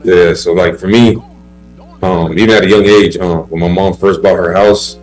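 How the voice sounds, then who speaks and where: A man in his thirties talks in a deep, low voice, loose and rambling, full of "you know" and "like." He answers questions as a basketball player guest on a live show. Soft music plays faintly under him. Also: moderate pace, poor audio. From Haiti. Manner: relaxed, rambling, laid-back with many fillers. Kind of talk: casual interview chat.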